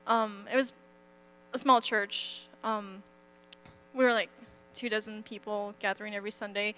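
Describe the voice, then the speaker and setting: Bright-voiced young woman from France. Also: medium pitch, flat delivery, normal speed, clear, resonant tone, poor audio. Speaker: student panelist. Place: school auditorium stage.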